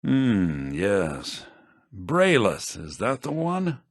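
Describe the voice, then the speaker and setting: An actor playing a pompous, lecherous and scheming wealthy merchant in a fantasy video game, with a raspy voice. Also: male voice, lowish voice, huge pitch swings, extremely slow, dry recording, studio quality, full character voice. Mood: lecherous, smug. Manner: pompous, smug, theatrical.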